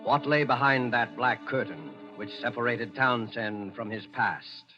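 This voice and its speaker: A man's voice: mid-range voice, a little slow. A man making dramatic, scripted announcements in the style of 1940s radio. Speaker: program announcer. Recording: muffled old radio, music behind.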